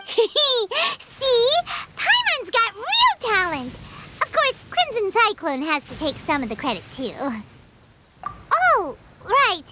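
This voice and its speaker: A woman voicing a tiny cheerful, boastful fairy companion with a squeaky childlike voice for a video game. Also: huge pitch swings, takes it slowly, heavy background noise, exaggerated voice. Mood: excited, playful. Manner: refers to self in third person, perky. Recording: loud game music behind.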